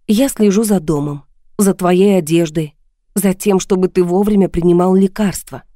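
A woman's voice: lowish voice, normal speed, warm tone, no room sound, studio quality, close to an everyday voice. A woman voicing a bitter, mocking wife in a failing marriage, with a cutting, sarcastic manner. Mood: cool, mocking.

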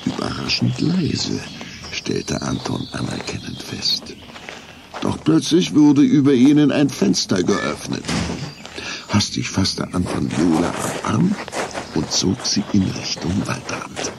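A man's voice: lowish voice, wildly swooping pitch, slow pace, vocal fry, background noise, full character voice. A man narrating a scripted children's radio play with suspenseful storytelling.

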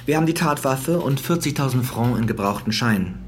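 An actor playing a businesslike homicide detective with a dry, matter-of-fact delivery in a crime radio drama. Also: male voice, medium pitch, lively intonation, brisk, lightly acted. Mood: factual, assured. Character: businesslike male homicide detective reporting findings. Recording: faint ambient noise.

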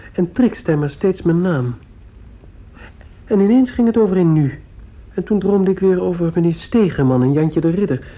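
A slow, husky, feverish teenage boy. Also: on the high side. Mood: weak, groggy. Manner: hesitant, groping for words. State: ill, sore throat. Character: feverish fifteen-year-old boy, earnest and dreamy. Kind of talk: radio play acting. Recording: dull lo-fi old radio recording.

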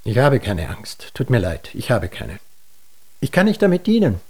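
An actor playing a gruff, stubborn early-retired husband and father in his sixties, curt and defensive, in radio drama. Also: medium pitch, huge pitch swings, normal speed, a little mellow. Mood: stubborn, dismissive. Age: 60s. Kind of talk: naturalistic radio drama acting.

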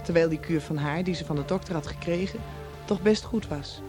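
Higher-pitched male narrator over music. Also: fairly quick. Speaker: narrator of the programme. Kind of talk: scripted narration, storytelling.

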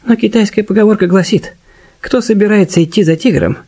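A man's voice: sits high, brisk, mellow. A man acting a politely eager, theatrical character in a Russian radio detective play. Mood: sly, thoughtful. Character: high-voiced, politely eager male character of a detective tale. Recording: radio play with music underneath.

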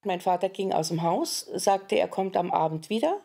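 A woman's voice: on the low side, animated, normal speed, vocal fry, mellow. A middle-aged woman recalling family memories as an interviewee, in plain personal recollection. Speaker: interviewee recalling her father.

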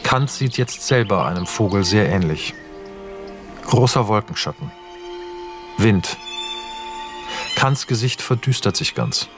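A man's voice: lowish voice, animated, takes it slowly, pauses often, music in the background. A man narrating a radio drama, reading stage directions in a terse, matter-of-fact way.

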